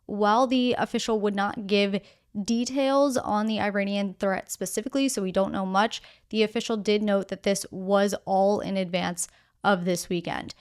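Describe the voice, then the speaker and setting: A woman hosting a news podcast, reading scripted stories in a measured, explanatory way with casual asides. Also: mid-range voice, fairly even intonation, clean tone.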